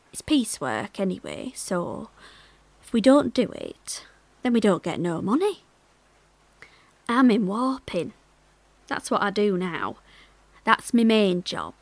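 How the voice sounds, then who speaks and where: An actress playing a cheerful, gossipy young working-class millworker from Yorkshire, chatting confidingly in a monologue. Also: female voice, on the high side, no echo, exaggerated voice. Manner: colloquial, confiding, animated. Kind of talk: dramatic monologue, chatty and conversational.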